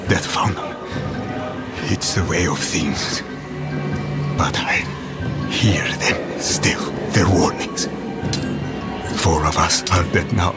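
Slow, haunted doomed man. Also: deep voice. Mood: haunted, resigned. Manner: slow, halting, whispery. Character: doomed, haunted male wanderer, bitter and resigned. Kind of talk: dramatic fantasy game monologue. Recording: loud game music behind the voice.

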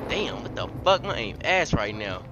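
A man's voice: fairly low pitch, sing-song, normal speed, very noisy. A man trash-talking during an online role-play game, in muffled stream audio.

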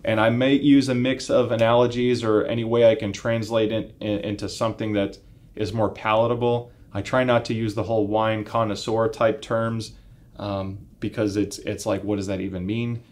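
A man's voice: fairly low pitch, flat delivery, normal speed, darkish tone. A man around his late thirties or early forties giving a casual, explanatory product review in a conversational way. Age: 30s to 40s. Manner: conversational, explanatory, uses analogies. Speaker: audio equipment reviewer. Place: indoor home listening room, seated.

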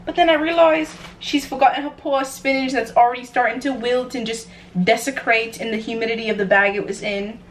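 A woman's voice: on the high side, fairly even intonation, a little fast. Playful young American woman, noisy room. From New York, United States. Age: young adult. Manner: playful, chatty, self-described chaotic energy. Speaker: vlogger. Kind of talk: casual cooking vlog chat to camera. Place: home kitchen, indoors. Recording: clear background noise, room ambience.

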